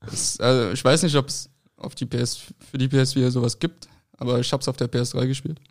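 A man's voice: mid-range voice, steady tone, fairly quick. A young man born in France and raised in Berlin, a football player giving short, hesitant answers with many fillers. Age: young adult. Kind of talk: casual interview answers.

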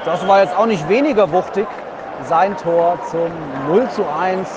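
High-pitched man podcasting amid stadium crowd noise. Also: measured pace, highs cut off. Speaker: football podcast host. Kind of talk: casual live match impressions. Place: outdoors in a football stadium. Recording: noisy stadium, loud crowd behind. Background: crowd noise and whistling.

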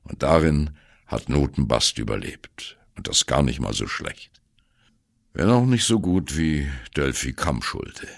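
An actor playing an aging, gruff free jazz musician in an audio drama monologue, blunt, wry and reflective, with a gravelly voice. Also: male voice, extremely low pitch, fairly bright tone, dry recording, studio quality, exaggerated voice. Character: aging male free jazz veteran, gruff, irreverent, wistful.

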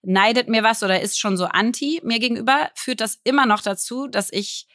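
A woman's voice: on the high side, a little fast, fairly bright tone, no echo, clean studio sound. A woman in her thirties from Berlin, co-hosting a casual podcast chat and talking candidly, often laughing at herself. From Berlin, Germany. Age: 30s. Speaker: podcast co-host.

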